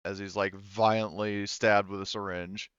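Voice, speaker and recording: A man's voice: lowish voice, little pitch movement, normal speed, fairly bright tone, smooth, no echo, muffled recording. A man running a tabletop roleplaying game, narrating the action casually and swearing freely. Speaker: game master.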